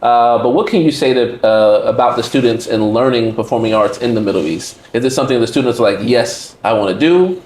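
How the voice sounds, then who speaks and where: A man's voice: medium pitch, fairly quick, hardly any pauses, darkish tone, slight background noise. An American man hosting a conversational interview, retelling remarks by acting out quotes. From United States. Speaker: podcast host. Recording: roomy echo.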